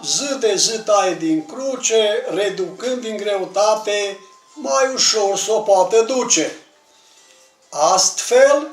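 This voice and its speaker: A man with a high voice recites devotional verse. His delivery is declamatory and measured, with emphatic phrase endings. He sounds set back from the microphone in a roomy space, with music behind him. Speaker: poetry reciter. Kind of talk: dramatic recitation of religious verse. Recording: roomy distant pickup with music.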